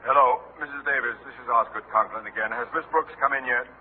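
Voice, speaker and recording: A man's voice: medium pitch, fast, natural voice. Pompous older school principal, played by a man, old radio. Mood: formal, puzzled. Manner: pompous, stern, clipped. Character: pompous, irritable middle-aged school principal. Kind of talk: 1950s radio comedy acting. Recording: tinny old radio recording, faint noise.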